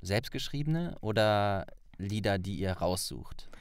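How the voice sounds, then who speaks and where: A man's voice: fairly low pitch, animated, slow, clear, resonant tone, no room sound, studio quality. A man hosting a podcast, chatting informally and colloquially with a guest. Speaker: podcast host, interviewer. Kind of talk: casual chat.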